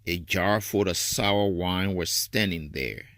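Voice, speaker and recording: A man's voice: low-pitched, slow pace, a bit bright, dry recording. A man reading scripture aloud in a solemn, formal style as a reader for a church service.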